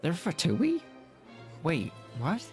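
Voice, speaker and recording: A man streaming a game, casually reacting out loud in short remarks as it plays. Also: on the high side, wildly swooping pitch, slow. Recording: game music behind the voice.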